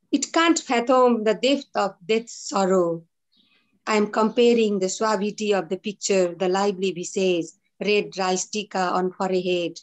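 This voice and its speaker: Young woman reading her poem slow and careful on a zoom call, mid pitch. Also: poor audio. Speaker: poet. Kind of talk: poetry recitation. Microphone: video call.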